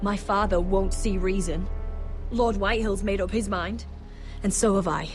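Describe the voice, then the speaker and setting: A woman's voice: medium pitch, moderate pace, soft background music, natural voice. A young woman acting as a determined, anguished noblewoman in a dramatic fantasy video game, with earnest intensity. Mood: indignant, resolute.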